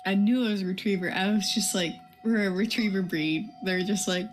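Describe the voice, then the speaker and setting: A woman co-hosts a casual livestream. Her voice sits at a middle pitch, and she talks like a friend swapping stories, voicing the reactions as she tells them. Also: fairly even intonation, a little fast, faint music behind. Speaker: livestream co-host.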